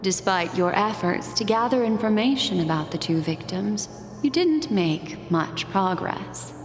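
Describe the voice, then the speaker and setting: An actress playing a calm, mysterious storyteller in a game cutscene, speaking soothingly and deliberately, straight to the listener. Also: female voice, medium pitch. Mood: measured storytelling. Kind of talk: scripted game cutscene narration. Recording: echoey reverb with music behind. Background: music.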